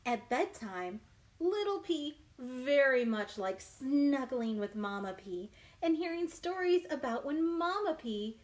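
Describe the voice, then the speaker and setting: Very animated, high-voiced woman reading to children. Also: takes it slowly. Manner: animated, exaggerated, questioning the young listeners directly. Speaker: children's librarian. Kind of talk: children's read-aloud storytime. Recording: noisy livestream recording.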